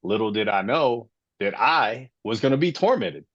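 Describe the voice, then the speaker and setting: A man's voice: mid-range voice, expressive, moderate pace, a bit bright, no room sound, highs cut off. A man in his late forties from Florida, hosting a casual sports podcast and telling his own story with passion. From Florida, USA. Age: 40s. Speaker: podcast host.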